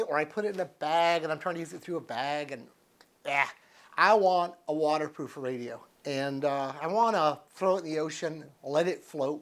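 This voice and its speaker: An American man in his sixties chatting casually and unscripted as he reviews a product, thinking aloud in a folksy, easygoing manner. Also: on the high side. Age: 60s. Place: living room with couch, indoors.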